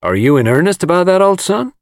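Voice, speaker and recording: Persuasive, hearty chum, played by a male narrator, lively intonation, slightly lifted pitch, blunt storybook adventurer. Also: quick pace, no room sound, studio quality. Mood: chummy. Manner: blunt, chummy. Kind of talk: audiobook character voice.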